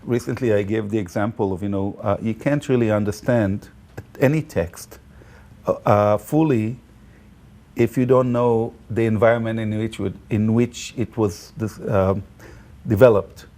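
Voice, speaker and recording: A man from Israel with an Israeli accent and Hebrew as his first language, talking as a guest and tour guide in a halting way, full of fillers and restarts. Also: lowish voice, steady tone, mellow. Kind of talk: casual conversation.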